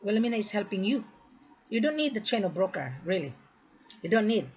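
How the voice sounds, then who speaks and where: A woman from the Philippines making a repetitive, insistent sales pitch, lecturing the listener in a direct-to-camera talk. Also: big pitch swings, fairly quick, a little mellow, slight background noise. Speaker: gold sales marketer. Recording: dull lo-fi recording.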